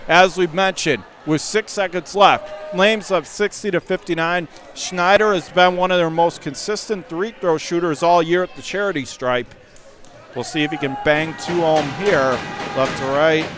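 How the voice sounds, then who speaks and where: A man doing live radio play-by-play of a high school basketball game from the gym, emphatically restating the score and clock. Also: normal speed, a bit bright. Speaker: play-by-play sports announcer.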